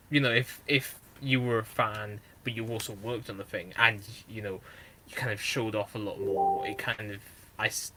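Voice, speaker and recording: A lower-pitched man with a Northern English accent and a bright, forceful tone, chatting loosely as a podcast host. He rambles, stopping and restarting his thoughts. A faint hiss of noise sits behind his voice. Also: rough recording. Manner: rambling, many fillers and restarts. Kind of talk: casual podcast chat.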